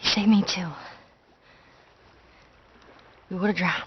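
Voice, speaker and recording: A woman's voice: medium pitch, steady tone, fast talker, lightly acted. An actress playing a feisty, stubborn teenage girl in a video game, blunt and insistent in how she talks. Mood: relieved, earnest. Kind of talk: video game cutscene acting. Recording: dull game audio under loud action noise.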